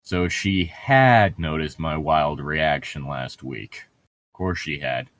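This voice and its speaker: A man's voice: rumbling low, slow pace, a bit bright, a little hiss or hum. A man co-hosting a book read-aloud, casually reading and bantering, often swearing and interrupting himself.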